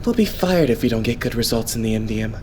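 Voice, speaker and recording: A man's voice: mid-range voice, expressive, darkish tone, a little hiss or hum, close to an everyday voice. A man playing a devout, optimistic young male idol in an anime game fan dub, explaining things politely. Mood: earnest, serious. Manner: polite, explanatory, measured phrasing.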